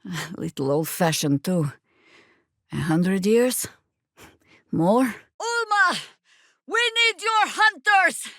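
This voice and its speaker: Older female druid, fantasy game hero, slow measured pace, close dry sound, wry, commanding. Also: a little high, expressive, a bit bright, no room sound, exaggerated voice. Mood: battle cry. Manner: dry, sardonic, deliberate delivery. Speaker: voice actor in a video game. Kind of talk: dramatic game dialogue.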